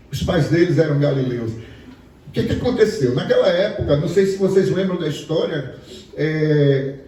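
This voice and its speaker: A man's voice: fairly high pitch, mellow, far from the mic. A man from Pernambuco with a Pernambuco accent, preaching a sermon in a storytelling way and asking the congregation questions. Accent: Pernambuco Portuguese. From Pernambuco, Brazil. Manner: storytelling, asks the audience questions. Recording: echoey hall, voice from afar.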